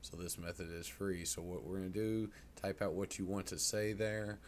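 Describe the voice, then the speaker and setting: A man with a low voice talks through a software tutorial in a casual, folksy way. He sounds like an informal presenter at his computer, with some noise behind him. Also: little pitch movement, normal speed. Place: indoors at a computer. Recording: clear background noise.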